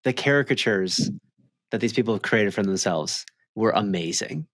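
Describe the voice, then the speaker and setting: A man's voice: on the low side, lively intonation, moderate pace, a bit bright, no room sound, clean studio sound. A man chatting casually as a podcast co-host, slangy and enthusiastic. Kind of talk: casual chat.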